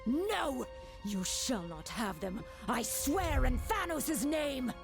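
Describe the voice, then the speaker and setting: Slow, imperious alien warrior villainess. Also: female voice, high voice, sing-song, creaky, full character voice. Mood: wrathful. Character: menacing female alien warrior villain serving a dark master. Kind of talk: video game cutscene acting. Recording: loud music under the voice. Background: music.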